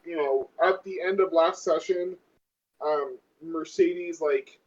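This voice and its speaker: A man's voice: on the high side, takes it slowly. A man casually talking through a tabletop game out of character as a player, thinking aloud in a hesitant way. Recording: dull lo-fi audio.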